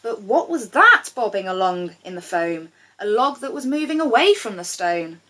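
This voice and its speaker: A woman storyteller giving an expressive reading of a rhyming children's storybook. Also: medium pitch, normal speed.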